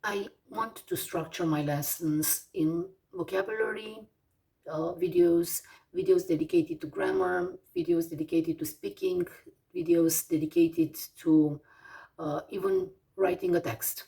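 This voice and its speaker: A Romanian woman in her forties with a Romanian accent, teaching English vocabulary slowly, with many fillers and pauses as she lists words one by one. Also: on the low side, creaky, warm tone, distant mic, poor audio. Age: 40s. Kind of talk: slow vocabulary lesson.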